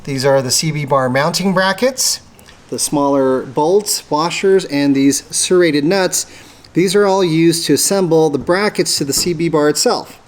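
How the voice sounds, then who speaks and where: A man's voice: a little high, unhurried. A man presenting a hands-on installation tutorial, explaining things methodically step by step. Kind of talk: step-by-step how-to instruction.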